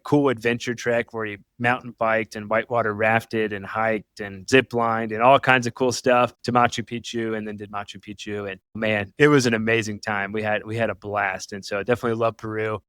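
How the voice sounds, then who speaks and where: A man's voice: on the low side, little pitch movement, fairly quick, few pauses, a bit bright, clear, resonant tone, no room sound. A man hosting a podcast interview, talking in an eager, chatty way with lots of praise for his guest. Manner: enthusiastic, chatty, many "awesome" and "super cool". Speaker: podcast host.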